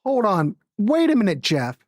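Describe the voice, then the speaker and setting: A woman's voice: mid-range voice, very animated, normal speed. A woman hosting a music show, chatting casually about records in a rambling, jokey, informal way with viewers.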